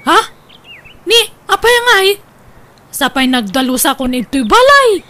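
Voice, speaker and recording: A woman playing an excitable, man-hungry older sister in a comedy radio drama, exclaiming theatrically. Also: high-pitched, unhurried, heavily acted. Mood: excited, dismayed. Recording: faint background noise.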